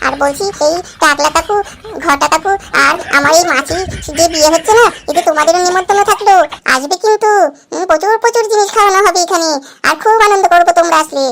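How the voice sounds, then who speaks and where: An actor voicing an excitable, cheeky little child in a comic cartoon. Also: very high-pitched, quick pace, creaky, slight background noise, full character voice. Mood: gleeful, chatty.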